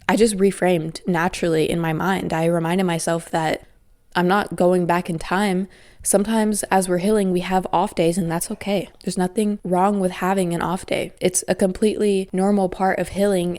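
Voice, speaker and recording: A young woman with a cold talks through her solo podcast, her voice congested and stuffy. She sounds earnest and grateful as she reflects. Her tone sits on the low side and comes across mellow. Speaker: podcast host. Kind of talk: casual solo podcast talk.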